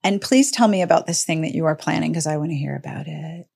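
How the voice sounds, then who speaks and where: A woman's voice: deep voice, quick pace, gravelly, darkish tone, breathy edge. A woman hosting a casual podcast conversation, talkative and emphatic with a wry touch. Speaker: podcast host.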